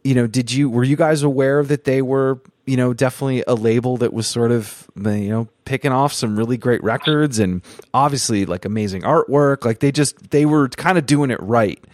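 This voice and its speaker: A man hosting a podcast interview, speaking in measured, thoughtful turns and building long reflective questions. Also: mid-range voice, darkish tone, no room sound. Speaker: podcast host. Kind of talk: casual interview chat.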